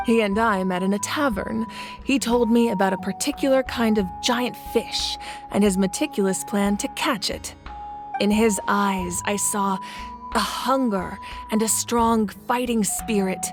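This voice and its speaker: A woman playing a calm, worldly leader. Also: mid-range voice, over music, natural voice. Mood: reflective. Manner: calm, measured, recounts stories at length. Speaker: Archon of the land. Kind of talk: game voice acting.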